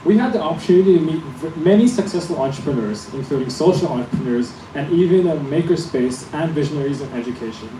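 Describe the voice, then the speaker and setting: A man's voice: fairly high pitch, lively intonation, fast, hardly any pauses. A young man in his late teens introduces a student presentation in an upbeat, announcing manner. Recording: echoey room, far mic, air conditioning hum.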